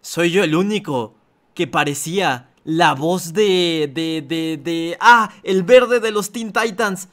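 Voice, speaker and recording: A man's voice: sits high, takes it slowly, a bit bright. A man giving casual live reaction commentary as a streamer. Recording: music under the voice.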